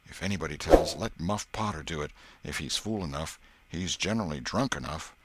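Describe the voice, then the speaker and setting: A man's voice: extremely low pitch, fairly quick, heavy background noise. A man voicing a worldly, wary village boy in an audiobook, with blunt, slangy, opinionated rustic speech. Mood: grimly certain.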